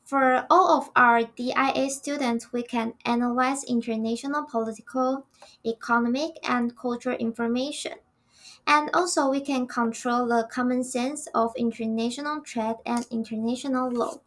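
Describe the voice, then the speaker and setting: High-voiced young Taiwanese woman. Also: fairly even intonation, normal speed, fairly bright tone. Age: young adult. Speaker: student podcast co-host. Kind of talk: reading prepared notes.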